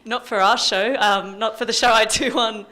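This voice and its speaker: A woman's voice: fairly high pitch, steady tone, normal speed, sharp tone. A woman, a radio presenter giving casual advice on a workshop panel. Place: live panel workshop indoors.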